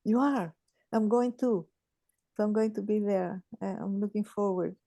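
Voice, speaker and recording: A woman, sharing in a group call in a halting, thoughtful way with many restarts. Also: medium pitch, creaky, warm tone, dry recording, studio quality. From Chile. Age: 80s. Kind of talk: casual sharing on a video call.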